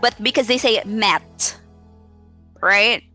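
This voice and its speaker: A Filipino-accented woman with a bright, forward voice teaches informally on a livestream. She takes her time, often halting to think aloud and hunt for the right word, then offers spoken examples. Soft music plays faintly underneath. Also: medium pitch. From Philippines. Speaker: English teacher hosting a live Q&A. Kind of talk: informal live teaching advice.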